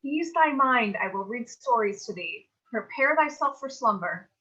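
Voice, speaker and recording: A woman's voice: fairly high pitch, lively intonation, normal speed, sharp tone, highs cut off. A woman acting an earnest, protective teenage babysitter, speaking reassuring, formal mock-Shakespearean dialogue in a staged reading. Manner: formal archaic diction, reassuring.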